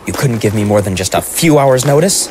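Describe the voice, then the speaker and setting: A man's voice: mid-range voice, animated, brisk, lightly acted. A man playing a put-upon, wheedling small-town mayor in an audio drama, with a sardonic, fussy, evasive manner. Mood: peeved. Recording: noisy background ambience.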